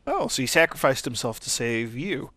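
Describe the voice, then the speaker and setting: A man's voice: medium pitch, expressive, moderate pace, pushed and bright, no room sound. A man hosting a Let's Play, giving casual, easygoing commentary and explanations.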